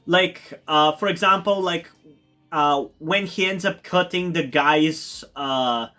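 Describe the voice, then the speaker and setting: A man's voice: on the high side, slow, bright, forceful tone, faint music behind. A man from Bulgaria whose first language is Bulgarian, casually reviewing a TV episode with lots of fillers, restarts and eager repeated words. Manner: rambling, many fillers and restarts; enthusiastic, repeats words for emphasis. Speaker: TV review YouTuber.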